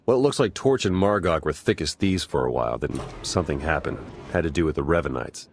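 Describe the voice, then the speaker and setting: A wry spy ally from a science-fiction game. His voice sits very low, and he talks casually and dryly, like an easygoing tech expert on a mission. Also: animated, fast talker, gravelly, breathy edge, no room sound, full character voice. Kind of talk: video game voice acting.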